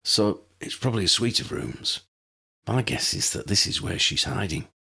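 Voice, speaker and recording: Man as bold protective friend, husky voice. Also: fairly low pitch, animated, moderate pace, pushed and bright, full character voice. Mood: hushed, resolute.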